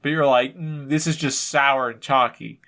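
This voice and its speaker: A man's voice: mid-range voice, fairly even intonation, normal speed, fairly bright tone. Man bantering as a podcast co-host. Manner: jokey, rambling, goes off on tangents. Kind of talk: casual comedic banter.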